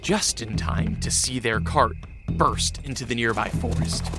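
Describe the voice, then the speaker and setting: An actor playing a grave, brooding vampire who also narrates a radio drama in a measured way. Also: male voice, mid-range voice, music in the background, natural voice. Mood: solemn.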